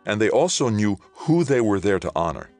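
A man's voice: on the low side, big pitch swings, a little fast, darkish tone, soft background music, voice pushed up. A man voicing a troubled, earnest male war veteran in an audiobook. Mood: somber, intense. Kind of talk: audiobook character dialogue.

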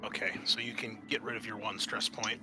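A man acting as game master, explaining and narrating a tabletop game in a casual, halting way. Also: on the low side, flat delivery, background noise.

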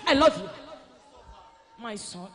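Slow, lively, bright-voiced prophetic speaker. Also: medium pitch, a crowd in the background. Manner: pleading and tender, repeats phrases. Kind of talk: prophetic utterance at a church service.

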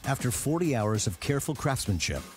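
A man reading scripted television documentary narration in a measured way with dramatic emphasis. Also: medium pitch, big pitch swings. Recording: music behind the voice. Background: background music.

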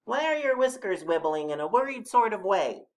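A man's voice: high voice, very animated, moderate pace, pitched above the performer's own voice. A man voicing a small, cheeky storybook mole with a questioning manner. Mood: cheeky, curious.

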